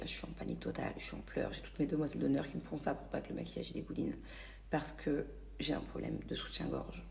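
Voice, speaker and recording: Chatty woman with a gravelly edge. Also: low voice, darkish tone, slight background noise. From Paris region, France. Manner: chatty, many fillers and restarts. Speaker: vlogger. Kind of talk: casual storytelling to followers. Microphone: phone.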